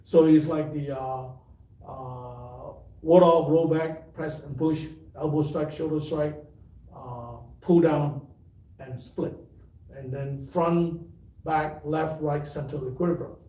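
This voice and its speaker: A tai chi teacher with a mixed Malaysian and British accent, giving an unhurried, rambling livestream talk full of fillers. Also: male voice, a little high, fairly even intonation, warm tone. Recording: echoey room, voice from far off.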